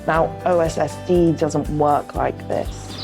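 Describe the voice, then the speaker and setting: A woman in her thirties answers interview questions slowly and thoughtfully, with frequent "um"s and "you know"s. Her voice sits very low and unhurried. Soft music plays faintly behind her. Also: steady tone, gravelly.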